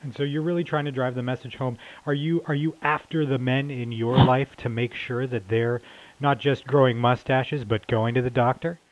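A man's voice: medium pitch, fairly even intonation, moderate pace, no echo. Chatty male interviewer, dull phone sound. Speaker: interviewer, host. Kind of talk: casual radio-style phone interview. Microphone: telephone.

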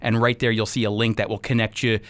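A man's voice: fairly low pitch, flat delivery, fast, a bit bright. A man hosting a radio interview, speaking conversationally to his guest and appealing to listeners.

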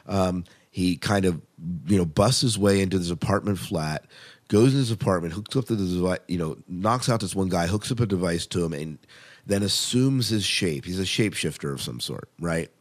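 A man co-hosting a podcast in casual review chat, with an enthusiastic, emphatic way of talking. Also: low-pitched. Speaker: podcast co-host.

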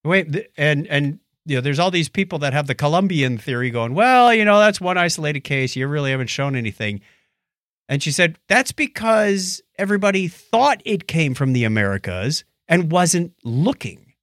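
A man's voice: on the high side, very animated, normal speed, a bit bright, no echo, studio quality. A man co-hosting a podcast in casual chat, recounting stories and acting out quoted remarks as he goes. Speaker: podcast co-host.

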